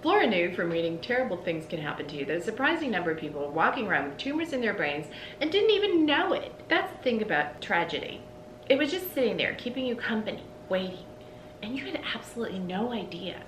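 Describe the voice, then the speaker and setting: A middle-aged woman reading a children's storybook aloud expressively, like a teacher, with deliberate pauses between phrases. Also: very animated, fairly bright tone. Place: indoors in a room with bookshelves. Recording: roomy echo, faint low rumble.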